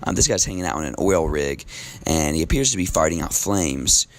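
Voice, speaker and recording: A man teaching a recorded classroom lesson while losing his voice, talking in a relaxed, casual way with frequent ums. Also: extremely low pitch, brisk.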